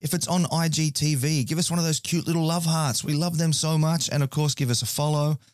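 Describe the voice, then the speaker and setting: A man hosting a barbecue podcast, a little hoarse from a cold, running through announcements in a chatty, upbeat way with self-mocking jokes. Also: fairly high pitch, fairly even intonation, fairly quick, dry recording, studio quality. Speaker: podcast host. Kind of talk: podcast intro and sponsor announcements.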